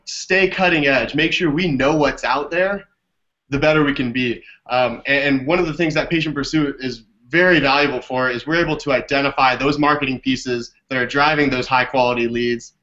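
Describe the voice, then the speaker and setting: A man's voice: medium pitch, sharp tone. A man answering as an interview guest over a video call, explaining things conversationally. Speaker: interview guest, business development director. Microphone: video call microphone. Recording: dull lo-fi video call audio.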